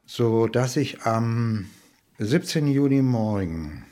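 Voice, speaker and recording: An older man from eastern Germany giving a halting eyewitness recollection. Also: mid-range voice, animated, dark tone.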